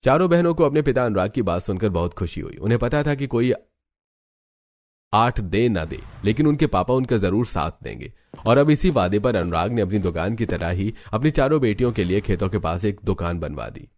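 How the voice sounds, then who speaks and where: A man's voice: on the low side, lively intonation, fairly quick, a little mellow. A man reading scripted narration for a family cartoon story.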